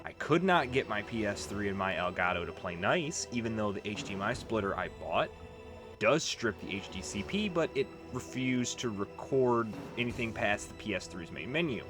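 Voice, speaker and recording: A man's voice: fairly low pitch, animated, normal speed. A man hosting a gaming video, giving casual, chatty let's play commentary with conversational asides. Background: game music.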